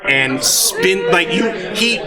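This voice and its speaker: A high-pitched man runs a live tabletop game as game master. His delivery is halting and theatrical, with stretched announcements and narration. A loud convention crowd roars behind him. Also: lively intonation. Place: live stage at a gaming convention.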